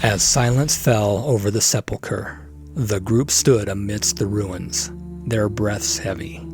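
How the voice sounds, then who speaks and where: A man's voice: lowish voice, fairly even intonation, slow, a little mellow. A man reading scripted dramatic fantasy narration. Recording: clear music bed behind.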